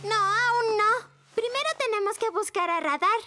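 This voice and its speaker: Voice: moderate pace, loud music behind the voice. An actor playing an eager, insistent young girl with a childlike voice in a cartoon dub. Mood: keen. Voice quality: high, childlike. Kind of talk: animated cartoon dubbing.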